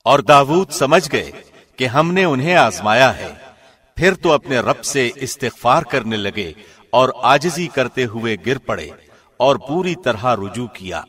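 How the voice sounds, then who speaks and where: A man reading a scripture translation from a script in a formal, measured, solemn manner. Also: medium pitch, animated, fairly bright tone, slightly rough. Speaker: radio host reading a translation.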